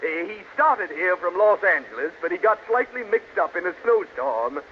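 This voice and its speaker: Officious radio comedy chairman, pompous, high animated voice, noisy old recording. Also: moderate pace, highs cut off. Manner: pompous, stammering formality. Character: blustery, officious forum chairman, easily exasperated older man. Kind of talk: 1940s radio sitcom acting.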